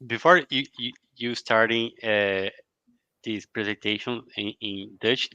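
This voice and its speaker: Low-voiced man on dull lo-fi audio. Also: steady tone, pushed and bright, dry recording. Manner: halting, careful phrasing. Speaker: co-host reading audience questions.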